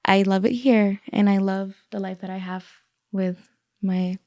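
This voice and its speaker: Gravelly-voiced woman from the Dominican Republic. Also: mid-range voice, unhurried, dry recording, dull audio. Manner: relaxed, chatty, with fillers and laughs. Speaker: podcast guest. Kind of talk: casual conversation.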